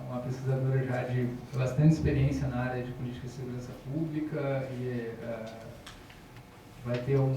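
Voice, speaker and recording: A man's voice: medium pitch, mellow. A man moderating an academic panel, introducing the speakers with hesitant fillers and self-corrections. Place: university auditorium. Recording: echoey lecture hall from far off, noisy.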